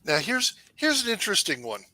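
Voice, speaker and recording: A man's voice: high voice, huge pitch swings, a little fast, a crowd in the background. A man hosting a casual livestream chat, chatty and teasing with his guest. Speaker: channel host.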